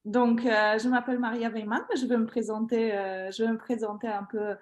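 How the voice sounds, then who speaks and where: A woman's voice: a little high, little pitch movement, moderate pace. Polish-accented woman presenting a webinar. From Poland. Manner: hesitant, many fillers, laughs lightly. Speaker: guest expert presenter. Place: home. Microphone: video call.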